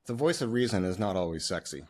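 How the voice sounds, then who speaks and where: A man co-hosting a podcast in casual talk, measured and reflective, fond of short sayings. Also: sits low, lively intonation. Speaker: podcast co-host.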